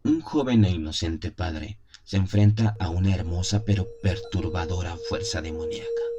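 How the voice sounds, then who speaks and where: A man's voice: low-pitched, wildly swooping pitch, moderate pace, muffled recording, loud music behind the voice. A man reading a scripted introduction as the announcer of a radio drama.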